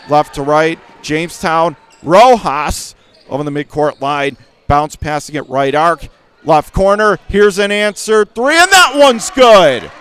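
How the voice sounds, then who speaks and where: A man calling live radio basketball play-by-play in clipped phrases that can burst into excited calls. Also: high voice, slight background noise. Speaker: play-by-play announcer. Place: courtside in a high school gym during a game.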